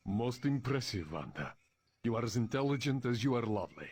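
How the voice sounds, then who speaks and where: A big, courteous hero in a video game. His mid-pitched voice is husky, his speech formal. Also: very animated, fairly quick, a little mellow, dry recording. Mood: admiring. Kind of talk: video game cutscene acting.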